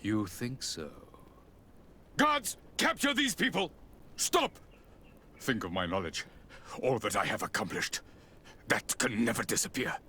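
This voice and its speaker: A man's voice: mid-range voice, very animated, unhurried, pauses often, sharp tone, slightly rough, exaggerated voice. An actor playing a cold, arrogant male scientist in a game, condescending and theatrical. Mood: defiant. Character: cold, arrogant male doctor defending his cruel experiments.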